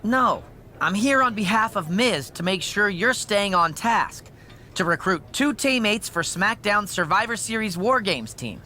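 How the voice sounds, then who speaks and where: A man's voice: high voice, lively intonation, measured pace, sharp tone, a little hiss or hum, heavily acted. A man playing an officious, pushy messenger for a boss in a scripted game cutscene. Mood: officious, pressing.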